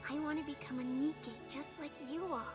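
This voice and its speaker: A woman's voice: high voice, steady tone, a little fast, music in the background, close to an everyday voice. A woman voicing a sweet, earnest and brave young orphan girl in an English-language video game. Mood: earnest, determined.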